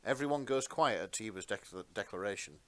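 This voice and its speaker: A man narrating fan fiction in a dramatic storybook style with theatrical, deliberate phrasing. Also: lowish voice, lively intonation, a bit bright.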